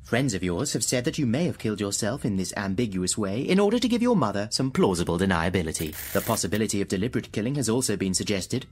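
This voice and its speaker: A man as a low, literal-minded computer. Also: slightly rough. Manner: formal, literal, clipped machine-like phrasing. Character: literal-minded thinking computer, emotionless and precise. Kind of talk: radio drama acting.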